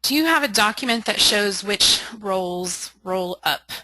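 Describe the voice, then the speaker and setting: A woman's voice: medium pitch, animated, a little slow, bright, forceful tone, dry recording, low-quality recording. A woman leading an informal live training webinar, reading out and answering questions candidly while thinking aloud. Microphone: conference call or webinar audio.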